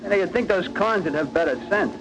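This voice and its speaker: An actor playing a wry, war-weary Navy sailor in a 1940s radio drama. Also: male voice, sits high, expressive, a little fast. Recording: very noisy old radio recording.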